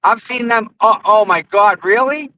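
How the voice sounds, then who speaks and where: Excitable Boston man, bright high voice. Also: normal speed, muffled recording. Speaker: co-host. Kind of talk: casual live-stream chat.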